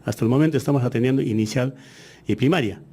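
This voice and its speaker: A soft, mellow-voiced man whose first language is Aymara speaks as a government education official. He explains things step by step in a measured way and often pauses with hesitations. His pitch sits in the middle range. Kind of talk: interview answers.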